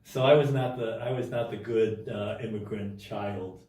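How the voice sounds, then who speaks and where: A man telling his life story as an interview guest in a casual, halting way, with many restarts and fillers. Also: lowish voice, fairly even intonation, warm tone, heavy reverb, distant mic.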